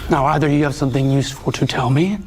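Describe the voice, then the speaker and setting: A man's voice: medium pitch, steady tone, fast talker, soft background music, lightly acted. A man playing a haughty, blunt young bachelor duke in an audio drama, curt, sarcastic and contemptuous. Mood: impatient, threatening.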